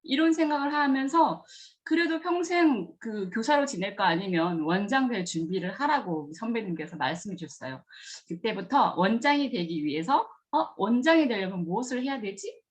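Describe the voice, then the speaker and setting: Lively-voiced woman telling her career story. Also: normal speed. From rural Gyeongsang region of Korea. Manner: earnest, acts out remembered dialogue with lively pitch jumps. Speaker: kindergarten director giving a career talk. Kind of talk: storytelling career talk to students.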